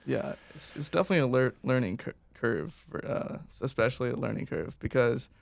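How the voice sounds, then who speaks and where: A man's voice: mid-range voice, brisk, vocal fry. A man chatting casually on a podcast, agreeable and stumbling over words at times.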